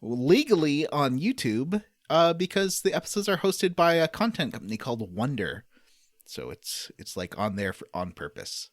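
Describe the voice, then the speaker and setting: A man's voice: a little high, very animated, moderate pace, no echo, studio quality. A man hosting a casual group podcast, telling stories in an enthusiastic, rambling way. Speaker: podcast host.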